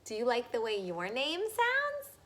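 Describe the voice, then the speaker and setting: A woman reading a picture book aloud to children in an animated way, pausing to ask her young listeners simple questions. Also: a little high.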